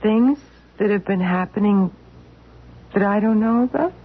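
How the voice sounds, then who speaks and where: An actress playing a dazed housewife who speaks haltingly in an old radio drama. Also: female voice, mid-range voice. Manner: hesitant, halting speech. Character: dazed housewife Edith, embarrassed after passing out. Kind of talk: 1970s radio drama acting. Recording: tinny lo-fi old radio broadcast.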